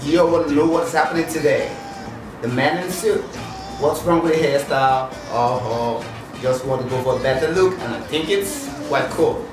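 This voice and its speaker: A man's voice: a little high, moderate pace, recorded from a distance. A man hosting an interview show, chatty and upbeat, with lots of fillers. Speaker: host, MC. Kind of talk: casual talk-show interview. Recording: echoey room, heard from afar, music behind.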